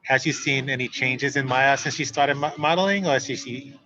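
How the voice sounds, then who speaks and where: A man hosting a webinar panel, asking halting questions with restarts over a video call. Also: medium pitch, pushed and bright, muffled recording. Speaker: panel host.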